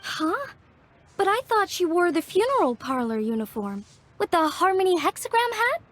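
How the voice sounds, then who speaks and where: An actress playing a polite, earnest and excitable young craftswoman in a video game. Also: extremely high pitch, normal speed. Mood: startled.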